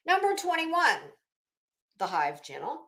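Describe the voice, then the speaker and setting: Blunt, slow-talking woman in an echoey room. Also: high-pitched, wildly swooping pitch, a bit bright. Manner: blunt, sarcastic, swearing. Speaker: commentary channel host. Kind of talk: casual spoken commentary while reading a legal document.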